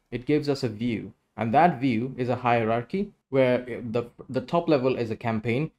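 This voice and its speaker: A man's voice: medium pitch, darkish tone. Man explaining step by step, casually. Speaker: tutorial presenter. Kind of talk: casual screen-share tutorial.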